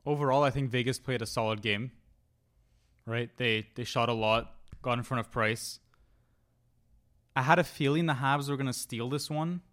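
A man's voice: mid-range voice, moderate pace, pauses often, fairly bright tone. A man co-hosting a live sports podcast, chatting casually and confidently. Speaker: podcast co-host.

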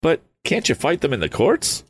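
Man reading aloud plays an earnest young inventor, higher and lively. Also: normal speed, breathy edge, exaggerated voice. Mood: eager. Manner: eager, earnest. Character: eager young male inventor, earnest and keen.

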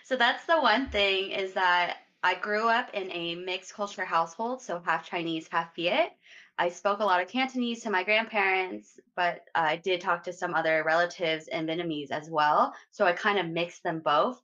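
A woman's voice: lowish voice, moderate pace, pushed and bright, lo-fi sound. A young woman in her twenties chatting casually on a podcast, self-deprecating and laughing. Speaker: podcast co-host. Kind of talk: casual chat.

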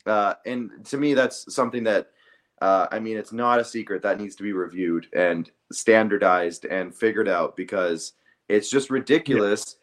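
A man with a lowish voice talks through a sports debate as a podcast co-host. He is earnest and conversational, circling back over his points to drive them home. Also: normal speed.

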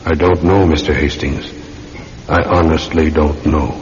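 A man's voice: a bass voice, steady tone, unhurried, faint music behind. A man acting an earnest, methodical Scotland Yard sergeant in a classic radio drama, questioning politely and insistently. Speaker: actor in a radio mystery serial. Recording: muffled old radio recording with faint hiss.

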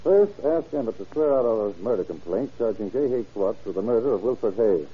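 An actor playing a businesslike, authoritative sheriff with a clipped delivery in an old-time radio drama. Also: male voice, lowish voice, lively intonation, brisk, breathy edge. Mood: brisk, commanding. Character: brisk, businesslike male sheriff or official. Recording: dull vintage radio recording.

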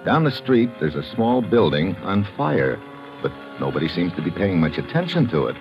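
A tough American secret agent with a very deep voice. He tells his adventure in a wry, hard-boiled radio style, with lively inflection. The old recording is dull, with music behind. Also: normal speed. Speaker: lead actor narrating and playing the hero. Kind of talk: 1950s radio adventure acting.

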